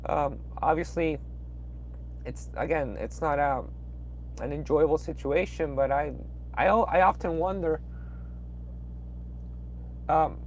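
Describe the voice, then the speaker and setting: A man's voice: fairly high pitch, slow pace, long pauses, a little hiss or hum. A young man from Peru with a Peruvian Spanish accent, chatting casually on a late-night livestream from inside his truck cab, rambling with lots of fillers and restarts. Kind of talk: casual livestream chat reading viewer comments.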